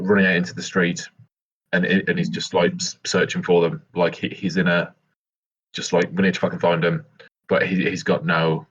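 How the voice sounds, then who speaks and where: Deep, husky man playing a tabletop game. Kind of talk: casual improvised description of his character's actions. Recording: dull, lo-fi microphone sound.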